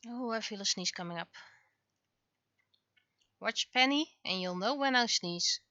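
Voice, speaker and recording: Bright-voiced woman on a casual art stream. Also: medium pitch, lively intonation, measured pace, no room sound, dull audio. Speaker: livestreaming webcomic artist. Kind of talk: casual livestream commentary while drawing.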